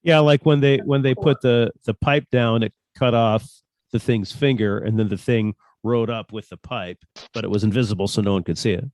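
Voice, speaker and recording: A man in a relaxed group discussion among cast members, speaking hesitantly as he thinks things through. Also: fairly low pitch, normal speed, no echo. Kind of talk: casual group conversation.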